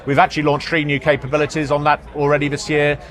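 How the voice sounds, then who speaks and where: A man speaking as an executive in a product interview, halting, often repeating words and hesitating as he explains. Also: on the high side, monotone, a bit bright. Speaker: marketing executive being interviewed. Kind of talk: product interview at a trade show. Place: trade show floor.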